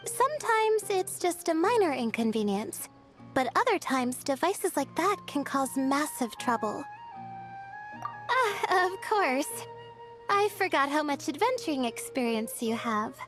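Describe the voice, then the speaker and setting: A woman voicing a gentle, sleepy, timid young student in an anime fantasy game, with a soft, girlish voice and hesitant, measured delivery. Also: high-pitched, sing-song, music in the background. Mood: calm, caring.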